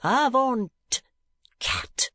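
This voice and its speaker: Woman voicing a crazed old gentleman, declaiming, higher than her own voice, slow drawn-out words, storybook. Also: animated, no echo. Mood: wild. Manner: declamatory, theatrical ranting. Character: lovesick mad old gentleman, grandly raving.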